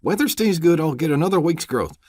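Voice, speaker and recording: A man's voice: fairly high pitch, lively intonation, brisk, darkish tone, no room sound, clean studio sound, voice pushed up. A man voicing a frightened rural man who pleads in a quavering voice. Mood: pleading.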